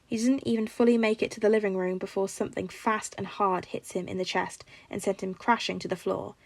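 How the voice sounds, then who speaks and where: A woman's voice: mid-range voice, steady tone, brisk, gravelly, darkish tone, no echo. A woman reading a scripted story aloud as a fiction narrator. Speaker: podfic narrator.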